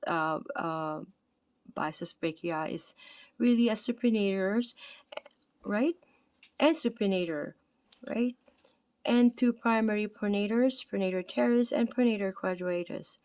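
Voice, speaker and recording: A woman's voice: fairly low pitch, dry recording. A tired woman with a Filipino accent reading an anatomy textbook aloud, correcting her own slips and adding "okay" and "right?". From Philippines. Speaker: anatomy study presenter.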